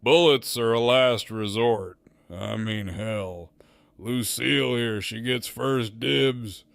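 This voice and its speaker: A man playing a smug, menacing gang leader in an audio drama, with a sardonic, profane, deliberate drawl. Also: mid-range voice, vocal fry, a bit bright, no room sound. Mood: smug, commanding.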